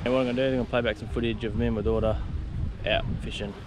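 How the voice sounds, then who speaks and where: A man's voice: mid-range voice, flat delivery, racing, background noise. A man in his thirties with an Australian accent, a fishing and four-wheel-drive vlogger, talking casually to the camera in a laid-back, upbeat way. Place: outdoors on a small boat at sea and in a car. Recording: music behind the voice.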